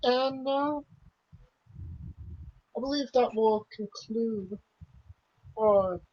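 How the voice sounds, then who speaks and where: A woman's voice: on the high side, drawn-out words, breathy edge. A woman hosting a casual wrestling podcast who asks questions and laughs easily. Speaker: podcast host. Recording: dull lo-fi podcast audio.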